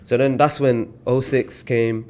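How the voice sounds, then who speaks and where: A young British man, telling stories in a relaxed, slangy way as a podcast guest. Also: medium pitch, measured pace. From Britain. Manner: relaxed storytelling with slang and fillers. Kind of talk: casual podcast chat.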